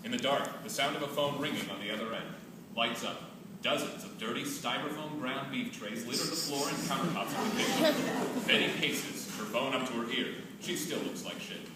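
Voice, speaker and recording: A man's voice: mid-range voice, animated, moderate pace. A man reading scripted stage directions as narrator at a staged play reading. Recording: echoey hall from far off, noisy.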